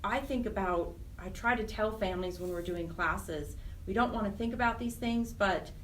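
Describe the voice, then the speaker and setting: A woman explaining things as a radio interview guest, earnest and a little halting, often repeating phrases. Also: medium pitch, fairly bright tone, far from the mic, slight background noise, low-quality recording. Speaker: guest, Alzheimer's charity program director.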